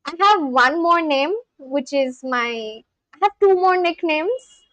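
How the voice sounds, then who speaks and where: A woman with an Indian accent hosts a live stream and chats with her viewers in a loose, friendly way, laughing often between remarks. Her voice sits high and bright. The sound is dry and close, with no room ring. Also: expressive, slow, no room sound. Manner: chatty, laughs often, talks straight to chat. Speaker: livestream host.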